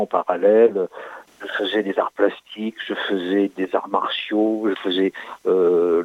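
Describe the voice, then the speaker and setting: A man in his sixties from Italy tells his own story slowly, stumbling over words and starting again. His voice sits low, with a rough, gravelly edge. The sound is dry and narrow, like a phone line. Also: no echo. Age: 60s. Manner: halting, many restarts and hesitations. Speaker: sculptor. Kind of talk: recounting his life story.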